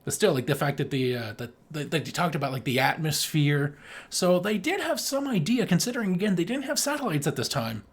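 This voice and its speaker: A man with a slightly high-pitched voice co-hosts a casual film podcast. He talks in loose, rambling runs, with a joking tone and some swearing. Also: big pitch swings, a little fast, husky. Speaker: podcast co-host.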